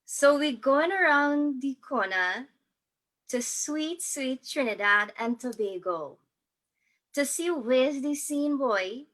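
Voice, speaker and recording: A woman with a bright, clear-cut voice hosting an online concert. She chats casually and eagerly as she hands over to the next act. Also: high voice, expressive, slow. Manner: chatty, enthusiastic, loose phrasing. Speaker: concert host. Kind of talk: introducing acts on a livestream.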